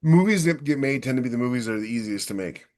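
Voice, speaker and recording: Mid-pitched man chatting casually as co-host. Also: animated, quick pace, darkish tone, a little hiss or hum. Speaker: podcast co-host. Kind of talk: casual chat.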